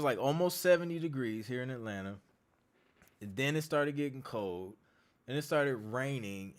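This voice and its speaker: A man who is losing his voice, hoarse and clearing his throat, hosting a casual live Q&A as a music producer and chatting in a laid-back, slangy way. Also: mid-range voice. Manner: laid-back, slangy, reads out viewer comments. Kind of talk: casual livestream chat.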